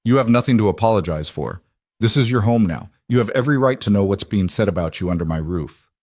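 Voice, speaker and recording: Man acting as powerful mafia boss, low voice, grave, measured, protective, romance audiobook, dry sound. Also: expressive, a little mellow, dry recording. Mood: grave, restrained. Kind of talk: dramatised audiobook dialogue.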